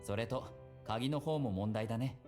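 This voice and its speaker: A man voicing an easygoing, gently teasing policeman and mentor in a visual novel. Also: medium pitch, quick pace, soft background music, natural voice. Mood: matter-of-fact. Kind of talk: Japanese visual novel voice acting.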